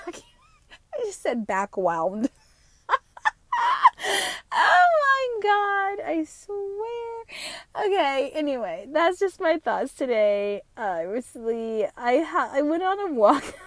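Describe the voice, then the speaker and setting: A woman in her fifties with a New Jersey accent at times, hosting an unscripted podcast monologue, talking fast, swearing freely and tagging her points with "right?". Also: high-pitched, big pitch swings, dry recording. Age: 50s. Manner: fast-talking, profane and rambling; emphatic, with frequent tag questions. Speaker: podcast host.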